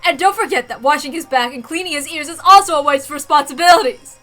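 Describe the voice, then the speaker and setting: Fussy scolding girl for a visual novel woman performing, high, sharp and stammering. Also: fast talker, soft background music. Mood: flustered, scolding. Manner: stammering, scolding to hide affection.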